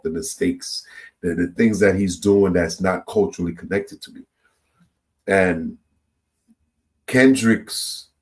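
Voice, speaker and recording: A man from Trinidad and Tobago hosting a reaction channel, giving his opinions in a loose, animated monologue to viewers, often repeating and restarting words. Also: low-pitched.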